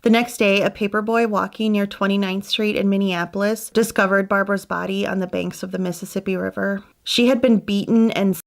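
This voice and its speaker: A woman narrates a true crime case in a relaxed, chatty way, stopping for her own asides and doubts. Her voice sits at an ordinary middle pitch. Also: a little fast, vocal fry, few pauses. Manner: conversational, with personal asides and doubts. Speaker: true crime channel host.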